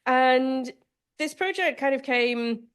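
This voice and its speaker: A middle-aged woman with a Northern Irish accent, giving an academic lecture as guest speaker in a measured, self-deprecating way. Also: sits high, fairly bright tone, clean tone. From Northern Ireland. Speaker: guest speaker, law professor.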